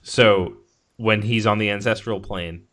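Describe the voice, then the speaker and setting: Male podcast co-host, lowish voice, loose and rambling, enthusiastic, casual movie-chat delivery. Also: fairly even intonation, unhurried, a bit bright.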